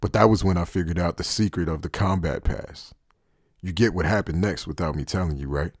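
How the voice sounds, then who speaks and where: A man acting in an anime fan dub as a guarded, cynical former soldier turned traitor, with a low, husky voice and a wry, deliberate delivery. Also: background noise. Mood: grim, matter-of-fact.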